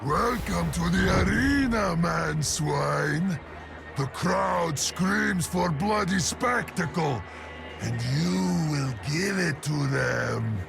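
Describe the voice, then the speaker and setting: A brash orc showman from a fantasy game bellows gloatingly to an arena. The voice is high and gravelly, swooping up and down over loud music. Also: animated, slow pace, full character voice.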